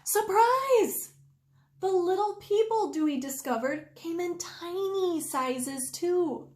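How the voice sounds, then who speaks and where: A woman reading a children's story aloud expressively, with deliberate, drawn-out emphasis. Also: very high-pitched, lively intonation, darkish tone. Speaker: storytime reader. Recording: roomy indoor echo.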